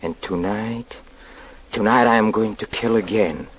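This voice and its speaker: An actor playing a murderous convict who poses as a pastor, halting and theatrical, in old radio drama style. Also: male voice, lowish voice, loud music behind the voice, lightly acted. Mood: quiet menace. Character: murderous escaped convict posing as a small-town pastor, bitter, taunting. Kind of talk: 1940s radio drama acting. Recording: dull, lo-fi 1940s radio recording.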